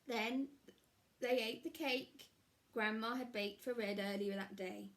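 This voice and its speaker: Woman reading to children, higher-pitched voice. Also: steady tone, a bit bright. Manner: measured, explanatory, child-directed. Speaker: primary school teacher. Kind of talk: read-aloud storytime with questions to children. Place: small room at home, indoors.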